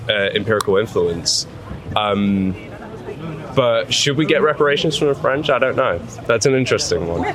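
A young man being interviewed in a casual street conversation, hesitant, with many fillers and restarts. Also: lowish voice. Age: young adult. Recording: crowd noise of a busy street.

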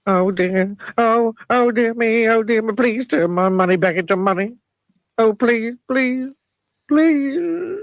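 A man's voice: very high voice, takes it slowly, no room sound, dull audio, voice pushed up, heavily acted. A man voicing a stingy miser who turns pleading and whiny in a raised put-on voice.

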